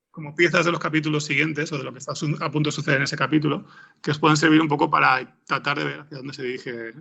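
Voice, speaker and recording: A man's voice: fairly high pitch, creaky, bright, forceful tone, dull audio. A man with a Spanish accent from Spain giving casual interview answers, hesitantly searching for his words. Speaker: interview guest, audio fiction screenwriter.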